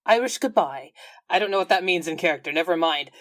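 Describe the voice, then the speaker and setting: A woman's voice: lowish voice, lively intonation, fast, fairly bright tone. A woman playing a tabletop roleplaying game, describing her character in casual, colloquial chat. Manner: informal, colloquial.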